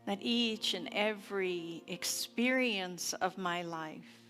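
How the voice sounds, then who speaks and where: Woman minister, sermon and prayer delivery, reverent and unhurried, long pauses, soft music behind. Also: mid-range voice. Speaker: minister leading a service. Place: church service.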